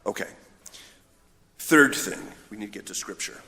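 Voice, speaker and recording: A man's voice: lowish voice, lively intonation, moderate pace, sharp tone. A male priest giving an informal, conversational homily in a storytelling manner. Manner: storytelling, voicing imagined dialogue. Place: large reverberant church. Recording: echoey hall with long reverb.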